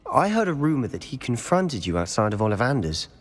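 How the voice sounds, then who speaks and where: An actor playing a grieving young student who speaks quietly and sincerely, with a touch of hesitation. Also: male voice, medium pitch, very animated, fairly quick. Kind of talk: video game cutscene acting. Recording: echoey hall reverb.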